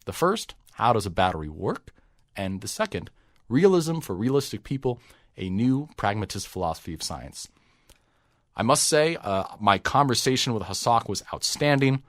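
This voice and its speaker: A man's voice: fairly low pitch, very animated, normal speed, a bit bright, no room sound, studio quality. A man hosting a podcast, introducing and interviewing a guest. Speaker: podcast host. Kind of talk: scripted intro and interview questions.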